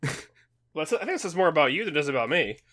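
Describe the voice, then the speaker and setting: Bright, higher-pitched man, bluntly sarcastic. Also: big pitch swings, fast. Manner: blunt, sarcastic, foul-mouthed, interrupting. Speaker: podcast co-host. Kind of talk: casual comedic banter.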